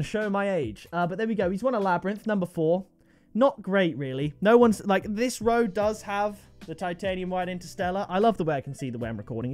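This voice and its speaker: A man, commenting on game item trades at his desk, excitable and jumping to high-pitched disbelief. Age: 20s. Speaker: video game trading streamer. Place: gaming room indoors.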